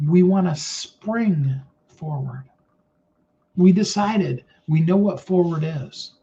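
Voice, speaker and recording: A middle-aged man with a naturally high voice giving an earnest, rambling motivational talk with many fillers and restarts. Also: slow, mellow, highs cut off. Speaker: motivational speaker.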